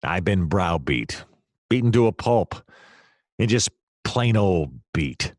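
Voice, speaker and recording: Man acting as noir private eye, deep voice, self-pitying, theatrical, dry close recording, radio play. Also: sing-song, takes it slowly, no room sound, exaggerated voice. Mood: defeated, theatrical. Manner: theatrical, wry, overwrought.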